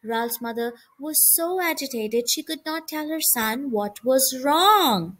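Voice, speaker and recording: Woman reading a kids book, high voice, slow and expressive, lots of up and down. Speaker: storybook reader. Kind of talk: expressive children's story narration.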